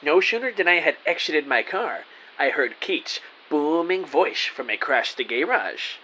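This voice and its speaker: A man's voice: fairly high pitch, very animated, a little slow, sharp tone, slightly rough, full character voice, poor audio. A man playing an earnest, nervous rookie detective, narrating an audio drama in the first person. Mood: upbeat, earnest.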